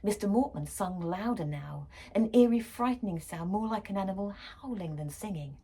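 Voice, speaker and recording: A woman reading a children's story expressively and dramatically, shifting between hushed and emphatic delivery. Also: medium pitch, animated, moderate pace, slightly rough. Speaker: story reader.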